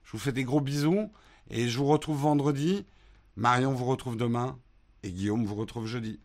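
A man from France hosting a casual morning live stream, answering viewer questions in a relaxed, hesitant way with many fillers. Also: medium pitch, moderate pace, a little hiss or hum. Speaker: host of a morning live show answering chat.

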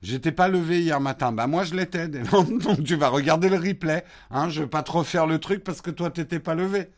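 A man from France hosting a casual morning live stream, answering viewer questions in a relaxed, hesitant way with many fillers. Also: a little high, a little fast. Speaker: host of a morning live show answering chat.

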